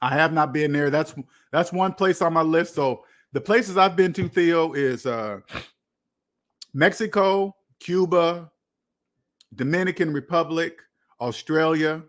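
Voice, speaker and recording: Higher-pitched American man livestreaming. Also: measured pace, fairly bright tone. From United States. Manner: rambling, repeats phrases for emphasis. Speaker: livestream host. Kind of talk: casual livestream chat, answering viewer comments.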